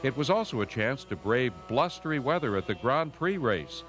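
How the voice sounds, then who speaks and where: A man's voice: medium pitch, very animated, normal speed, fairly bright tone. A man with an American accent reading scripted newsreel narration. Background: music.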